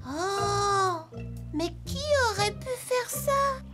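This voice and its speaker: Squeaky, swooping young toy animal. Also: female voice, expressive, extremely slow, heavily acted. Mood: puzzled. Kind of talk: children's cartoon dubbing. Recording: loud music under the voice.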